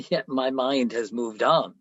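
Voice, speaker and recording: An actor playing an older, self-absorbed philosophy professor in a radio play, lecturing pompously and haltingly. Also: male voice, mid-range voice, slightly rough, no room sound, lo-fi sound. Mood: indifferent.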